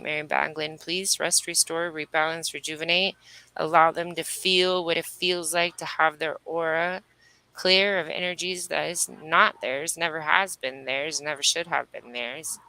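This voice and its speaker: A woman's voice: low voice, sharp tone, poor audio. A woman working as a spiritual medium, guiding a breathing and energy-healing session with repetitive, incantatory phrasing.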